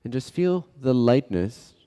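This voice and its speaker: A man leading an unscripted guided meditation as a teacher, speaking in short phrases with long pauses between them. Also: mid-range voice, very animated, mellow, clear, resonant tone. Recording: long hall-like reverb.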